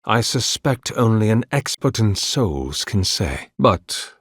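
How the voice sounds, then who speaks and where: A man acting a video game role as a weary, courteous, devout scholar-priest of a sun god, speaking in measured, formal, reverent phrases. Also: on the low side, very animated, breathy edge, dry recording, clean studio sound, full character voice. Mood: thoughtful. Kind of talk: video game character dialogue, formal and archaic.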